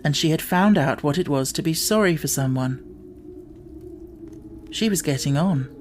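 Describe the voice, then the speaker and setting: A man's voice: a little high, moderate pace, slight background noise. A man reading a children's novel aloud as scripted audiobook narration.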